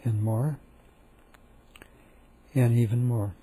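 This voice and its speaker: A man leading a guided meditation, speaking calmly and softly with long, repetitive pauses. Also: medium pitch, a little hiss or hum.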